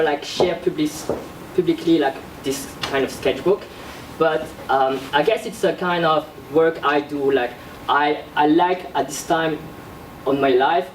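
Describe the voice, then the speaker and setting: A young man gives a casual talk about his own artwork, pausing and restarting often. His voice sits a little high. The room is echoey and he is picked up from across it, with a faint hum behind him. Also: recorded from a distance. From born in South Korea, raised in France. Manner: halting, many fillers and restarts. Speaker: visual artist presenting his work. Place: classroom with projector screen. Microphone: far camera at back of room. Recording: roomy echo from across the room.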